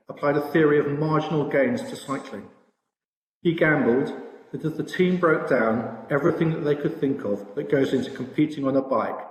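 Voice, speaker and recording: A man's voice: mid-range voice, a little mellow. Echoey British man giving a presentation. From Britain. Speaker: industry conference presenter, sportsbook products director. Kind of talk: slide presentation. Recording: echoey room with long reverb.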